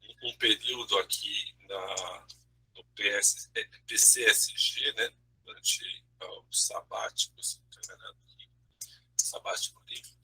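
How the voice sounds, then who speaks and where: Raspy, sharp-voiced male host. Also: lowish voice, steady tone, in a whisper, poor audio. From Brazil. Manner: halting, with frequent tag questions. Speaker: webinar host. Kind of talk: introducing a speaker. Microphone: video call.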